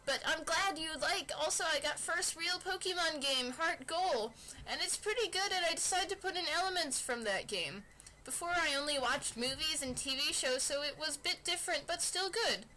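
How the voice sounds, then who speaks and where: A woman doing a playful mock dramatic reading of fan fiction, deliberately stilted, with asides and computer fan noise behind her. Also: sits high, pushed and bright, poor audio. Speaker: amateur reader of fan fiction.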